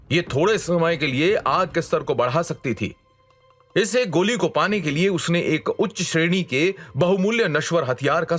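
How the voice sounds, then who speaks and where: A man's voice: on the high side, a bit bright. A man narrating a scripted fantasy audio story in a dramatic storytelling style. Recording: music behind the voice. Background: background music.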